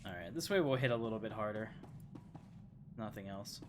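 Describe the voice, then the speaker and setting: A man's voice: fairly low pitch, expressive, fairly quick, noisy background. A man giving casual live gameplay commentary as a streamer, chatting and rambling to his viewers as he plays. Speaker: game streamer, host.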